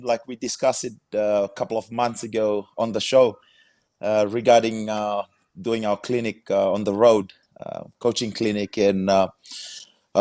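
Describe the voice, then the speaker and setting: A man's voice: medium pitch, a little mellow, dry recording, clean studio sound. A man speaking as a rugby coach on a video call, friendly, with a lot of hesitant uhs. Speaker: national rugby head coach, guest.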